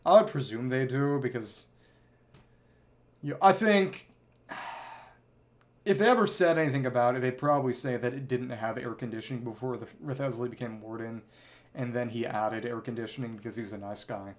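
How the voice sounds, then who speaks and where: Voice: medium pitch, moderate pace, pauses often. A game streamer chatting casually with viewers and reading game dialogue in clipped, musing fragments. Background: game music.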